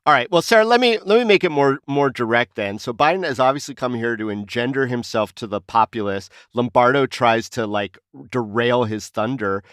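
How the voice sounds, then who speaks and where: A man co-hosting a conversational news podcast, talking in an animated, probing way. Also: mid-range voice, a little fast, hardly any pauses, smooth, no room sound, clean studio sound. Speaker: podcast co-host.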